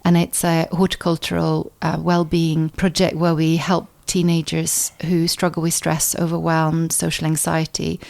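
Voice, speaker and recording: A woman's voice: deep voice, monotone, normal speed, vocal fry, few pauses, a little mellow. A woman in her fifties who grew up in Sweden, a chiropractor talking as an interview guest in a thoughtful way, restarting and repeating words as she goes. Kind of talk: conversational interview.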